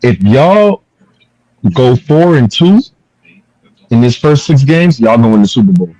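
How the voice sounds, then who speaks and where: A man's voice: mid-range voice, big pitch swings, measured pace, mellow, muffled recording. A man hosting a sports chat show, talking in a middle register with a dry, close sound and no room echo. He needles his guests and repeats his point until it sticks. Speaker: podcast host. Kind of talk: casual sports debate.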